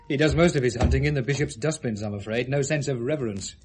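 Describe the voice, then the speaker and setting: An actor playing an educated, guarded British salesman in his thirties, husky and articulate, in a 1970s radio drama. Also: mid-range voice, fairly quick, background noise, natural voice. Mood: dry, relaxed. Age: 30s. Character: educated, wary salesman in his early thirties under questioning.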